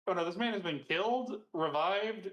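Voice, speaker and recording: A man's voice: high-pitched, normal speed, fairly bright tone, lo-fi sound. A man playing a tabletop roleplaying game, talking casually as he describes his character's actions. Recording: roomy, echoing sound.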